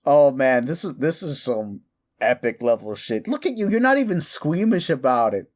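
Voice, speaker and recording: A man playing a gleeful, mischievous accomplice in a comedic audio roleplay, chatting excitedly with hesitant asides. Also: mid-range voice, normal speed, pitched above the performer's own voice. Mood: delighted, amused. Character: gleeful, mischievous young man, amused accomplice in dark prank.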